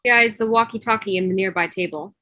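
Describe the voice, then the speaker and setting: A woman's voice: medium pitch, fast, bright, forceful tone, no echo. A woman reading scripted stage directions as narration on a video call. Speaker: stage-direction reader in a table read.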